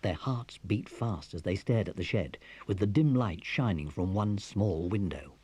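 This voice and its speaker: A man's voice: sits low, expressive, a little slow, mellow, breathy edge. A man narrating a children's adventure story from a script.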